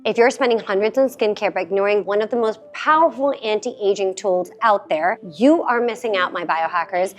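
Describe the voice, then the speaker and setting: A woman's voice: mid-range voice, brisk, hardly any pauses. A woman giving a scripted sponsor read in a polished, upbeat way. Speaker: host reading an ad. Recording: faint music bed.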